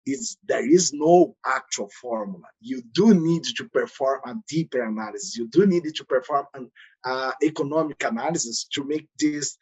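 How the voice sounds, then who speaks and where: A man with a Brazilian Portuguese accent, a consultant explaining tax rules in a podcast interview, with frequent pauses and non-native phrasing. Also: mid-range voice, slightly rough. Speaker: transfer pricing consultant.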